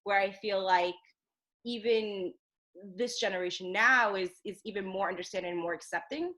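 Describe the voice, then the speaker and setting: A woman talking on a podcast as a guest, an animated storyteller who laughs often and speeds up in bursts. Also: mid-range voice, normal speed, poor audio. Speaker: podcast guest, youth journalism program director. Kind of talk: casual interview chat.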